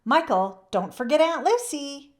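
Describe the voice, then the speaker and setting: Woman reader as forthright young girl, dramatic, chatty, animated pitch, storybook character. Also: measured pace. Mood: bold.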